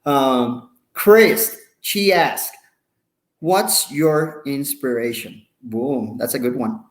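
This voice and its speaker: A man's voice: mid-range voice, drawn-out words, roomy sound. A man with a Puerto Rican accent hosting a casual interview, enthusiastic and playful in his remarks. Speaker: host and coach.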